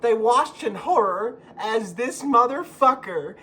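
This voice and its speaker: A man giving casual, rambling video commentary as a host, halting and repeating himself, with informal, sweary phrasing. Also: very high-pitched, expressive, pushed and bright. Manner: profane, informal. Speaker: YouTube host.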